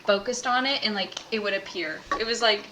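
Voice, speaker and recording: A young woman chats through a long story, packing her sentences with "like" and breaking into laughter. Her voice sits at an ordinary pitch. A faint hiss of noise runs under her. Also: a little fast, pushed and bright. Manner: chatty, filler-heavy, laughing storyteller. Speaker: podcast guest. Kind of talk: casual storytelling chat.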